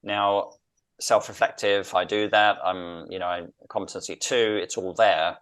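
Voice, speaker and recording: A middle-aged man with a southern English accent speaks in a low voice as an interview guest. He is earnest and reflective, restating his phrases with slight variations to drive a point home. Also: fairly even intonation, measured pace, a bit bright, smooth. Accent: English, southern British. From London, England. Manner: earnest, emphatic, repeats phrases for effect. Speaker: interview guest, executive coach.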